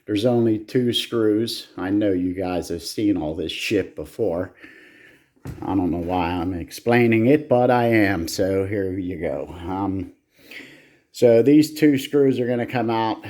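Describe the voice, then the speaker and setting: A man's voice: fairly low pitch, slow pace, a little mellow. A man giving casual, hands-on repair commentary in a blunt, salty way, swearing freely as he goes. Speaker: repair video host.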